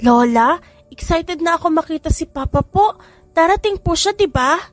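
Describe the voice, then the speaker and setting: A woman voicing a sweet, excited five-year-old granddaughter in an audiobook story, with music behind. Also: very high-pitched, moderate pace, no echo, voice pushed up.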